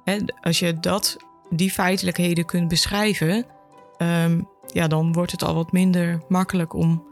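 A woman's voice: lowish voice, level tone, moderate pace, soft background music. A woman, speaking conversationally as a guest explaining her field. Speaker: education officer, podcast guest.

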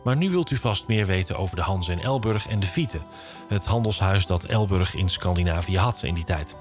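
Deep-voiced male podcast host. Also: animated, fairly quick, faint music behind. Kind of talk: podcast hosting.

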